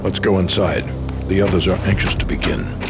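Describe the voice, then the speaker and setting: An actor playing a polished, secretive old man who speaks in a formal, measured way. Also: sits low, steady tone, lightly acted. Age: older. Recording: loud music under voice.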